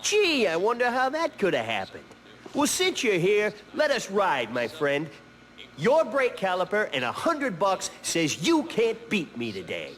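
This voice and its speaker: A man's voice: high voice, huge pitch swings, a little slow, fairly bright tone, exaggerated voice. A man playing a cocky biker rival in a video game, sarcastic, showy and taunting. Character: cocky, taunting male bike-racing rival.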